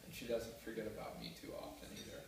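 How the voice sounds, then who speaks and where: A man's voice: quick pace, a crowd in the background. A young man giving an earnest, heartfelt class speech, with a few small restarts. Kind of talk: prepared speech. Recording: roomy echo, mic far off, faint noise.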